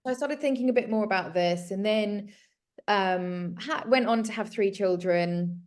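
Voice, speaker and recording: Earnest woman in her thirties, from south africa, chatty and animated. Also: mid-range voice, normal speed. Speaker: guest, home organiser. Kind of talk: casual conversation.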